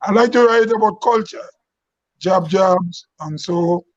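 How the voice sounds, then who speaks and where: A Grenadian man speaking as a guest writer on a talk show, halting and restarting his phrases. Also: high-pitched, no room sound. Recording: dry, dull lo-fi sound.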